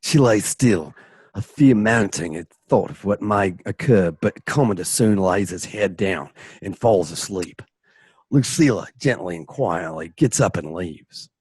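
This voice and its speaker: A man reads scripted narration for an amateur radio drama. His voice is lowish and husky, in a dry recording with little room sound. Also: expressive, unhurried, darkish tone, dry recording.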